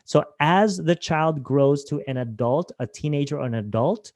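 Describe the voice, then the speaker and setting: A man's voice: on the high side, expressive, normal speed, a little mellow, dry recording. A man from Hawaii presenting a webinar, talking conversationally and asking his audience questions. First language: Filipino language.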